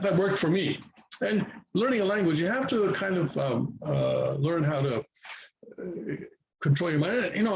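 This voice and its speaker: A man's voice: mid-range voice, creaky, a little mellow, muffled recording. Patient man teaching Korean letters. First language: English. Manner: patient, repeats and checks in with "okay?". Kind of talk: casual step-by-step tutorial. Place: home near a highway, passing traffic.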